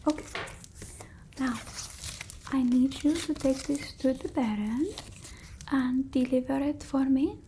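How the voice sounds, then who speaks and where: A haughty yet fond vampire mistress, acted out by a woman, murmurs in a high, soft voice. She is formal and politely imperious, drawing her words out slowly and often dropping to a breathy whisper in an ASMR roleplay. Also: a little hiss or hum.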